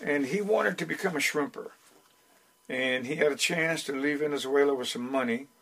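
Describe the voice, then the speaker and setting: A man in his eighties, Dutch by first language and from the Netherlands, recounting his life as a podcast guest in an unhurried way with many restarts. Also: a little high, vocal fry, a bit bright, poor audio. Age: 80s. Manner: unhurried storyteller with frequent restarts. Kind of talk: storytelling interview.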